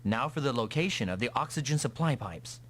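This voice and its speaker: Casting: male actor as gung-ho young pilot hero for an anime-style game, confident, animated delivery. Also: medium pitch, brisk, lightly acted. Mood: businesslike. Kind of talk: dubbed anime-style video game acting. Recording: noisy vintage console game audio.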